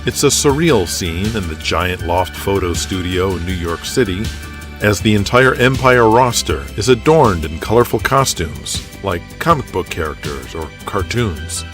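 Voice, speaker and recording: A man narrating a scripted audio drama as its storyteller. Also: lowish voice. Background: music.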